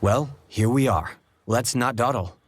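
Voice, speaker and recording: An actor playing a composed, eager detective in a mystery game. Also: male voice, mid-range voice, a little slow, husky, no echo. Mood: brisk, businesslike. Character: composed, eager male detective rousing his partner. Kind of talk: video game voice acting.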